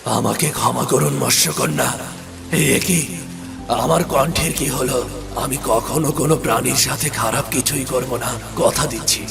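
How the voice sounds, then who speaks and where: Guy doing a cursed prince fish in a kids cartoon, sorry and pleading, bit creaky, music behind. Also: medium pitch, unhurried. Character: cursed young prince turned talking koi fish, pleading, regretful. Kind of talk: children's moral-story cartoon acting.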